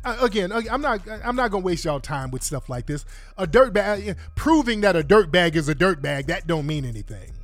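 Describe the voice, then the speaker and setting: A man's voice: high voice, sing-song, a little fast, no room sound, faint music behind. A congested man ranting bluntly and profanely to his viewers in an exasperated commentary. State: congested from a cold. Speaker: online commentator.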